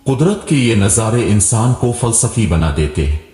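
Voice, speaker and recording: A foolish, self-assured young villager as a male storyteller plays him in a storybook tale. He philosophizes with earnest pomp in a low, animated voice, with echo around it. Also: a little slow. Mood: earnest, pompous. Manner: earnest, pompous. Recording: roomy echo with long reverb, music behind.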